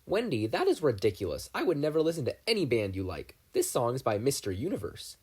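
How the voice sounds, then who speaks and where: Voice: mid-range voice, wildly swooping pitch, fairly quick, a little mellow, slightly rough. A reader doing a blustering old shop owner. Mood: blustery. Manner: dismissive, blustering. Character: gruff older man, stubborn shop owner.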